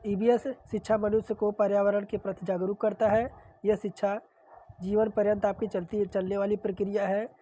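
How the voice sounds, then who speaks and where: A woman's voice: medium pitch, moderate pace, no room sound, poor audio. Woman teacher with a sore throat. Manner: steady explanatory reading, repeats answers. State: sore throat, clearing throat often. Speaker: exam-prep teacher. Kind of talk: reading and solving practice questions.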